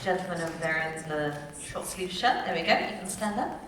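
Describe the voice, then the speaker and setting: A woman's voice: on the low side, brisk, a bit bright. A woman informally moderating audience questions at a live talk session in a large event hall. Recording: very echoey hall, distant, faint noise.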